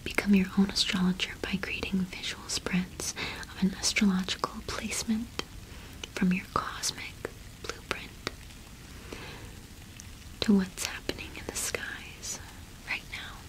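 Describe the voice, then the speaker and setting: A young woman whispers her way through an ASMR roleplay as a shopkeeper. Her delivery is slow and gentle, with little rise or fall in pitch and frequent pauses. She speaks close to the microphone. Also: flat delivery, low-quality recording. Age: young adult. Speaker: ASMR performer playing a cottage witch shopkeeper.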